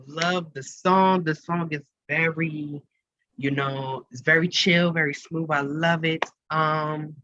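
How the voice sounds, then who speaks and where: A man hosts a music show in a casual, chatty style. His voice is bright and forward, on a slightly dull, lo-fi recording. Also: a little high, no echo. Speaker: radio show host. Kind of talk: casual music interview chat.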